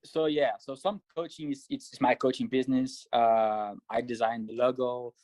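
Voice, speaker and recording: A man from Brazil, speaking as a guest on an interview show. He is a triathlete and coach who talks in a measured, thoughtful way with frequent fillers. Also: mid-range voice, fairly even intonation, a little mellow, dry recording. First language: Portuguese. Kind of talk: casual interview answers.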